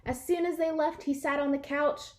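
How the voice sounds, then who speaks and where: A woman reading a picture book aloud to children in an expressive storytelling style. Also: high-pitched, normal speed. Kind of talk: animated children's read-aloud narration.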